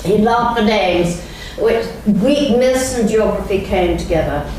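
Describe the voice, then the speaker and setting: An older Englishwoman gives a slow, reflective lecture and often pauses to search for words. Her voice booms around the vast church because the mic sits well away from her, and a faint hiss runs beneath her speech. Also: darkish tone. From England. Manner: slow, thoughtful delivery with frequent ums. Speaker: guest lecturer. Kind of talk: church lunchtime lecture, reading quotations aloud. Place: large church. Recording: very echoey church, speaker heard from a distance, faint background noise.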